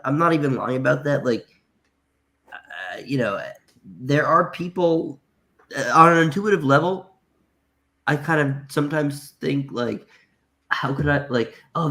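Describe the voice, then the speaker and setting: A man's voice: mid-range voice, expressive, moderate pace, gravelly, pushed and bright. A man with a naturally nasal voice made thicker by congestion. He rambles in a casual call-in manner, stumbling and restarting as he works through his point. He sounds like he is talking over a video call. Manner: rambling, hesitant, many restarts. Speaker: caller.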